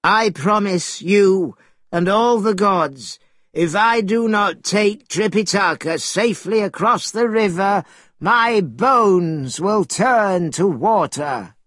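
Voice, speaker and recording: An enormous old-tale turtle, voiced by a male storyteller, solemn and grateful. He speaks very slowly in a raised, husky voice, earnest and pleading. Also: sits high, lively intonation, fairly bright tone, dry recording, voice pushed up, exaggerated voice. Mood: solemn promise.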